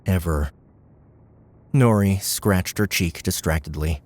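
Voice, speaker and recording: A man narrating a scripted audiobook novel with measured, dramatic storytelling. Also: sits low, a little mellow.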